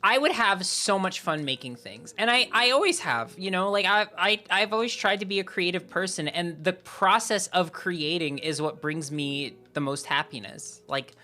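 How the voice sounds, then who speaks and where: A young woman streamer chatting casually and candidly with her viewers, her voice lowered by a sore throat, rambling with lots of "like" fillers. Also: expressive, moderate pace, hardly any pauses, pushed and bright, soft background music. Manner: rambling and candid, heavy use of "like". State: sore throat, voice deeper than usual. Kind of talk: casual live-stream chat.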